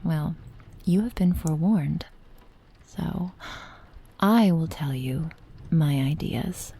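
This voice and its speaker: A woman acts out an ancient witch in an intimate ASMR roleplay, her voice low and mellow. She speaks to her beloved apprentice in careful, old-fashioned phrases, tender yet possessive. The soft delivery often sinks into a breathy whisper, with some creak at the edges. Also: heavily acted. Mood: solemn, hesitant. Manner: slow, formal archaic phrasing, deliberate pauses.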